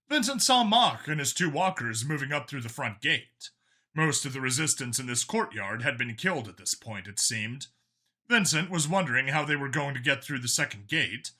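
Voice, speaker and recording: A man's voice: medium pitch, bright, forceful tone. A man reading a science fiction action story aloud as dramatic scripted narration. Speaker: audiobook-style story narrator.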